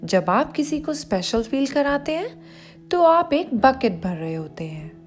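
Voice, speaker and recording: A woman reads aloud for children in a high, very lively voice. She takes her time, explaining things directly to the young listener as a storyteller would. Music plays underneath her narration. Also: a little slow. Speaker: storyteller reading a children's picture book aloud.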